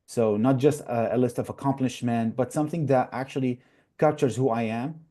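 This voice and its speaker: A young man from Iraq talks through his story in an earnest, thoughtful way, often pausing with "uh" and "like." His voice is mellow and warm, at an ordinary middle pitch. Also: steady tone. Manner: earnest, many "uh" and "like" fillers. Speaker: guest, newly matched resident. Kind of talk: interview answers.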